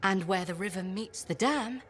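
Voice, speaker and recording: A woman's voice: medium pitch, moderate pace, natural voice. An actress playing a witty, curious woman scholar.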